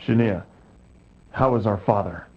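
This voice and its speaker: A man's voice: on the low side, measured pace, close to an everyday voice. A man playing an earnest, urgent prince, an emperor's son, in a video game cut-scene. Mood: worried. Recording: muffled, noisy game audio.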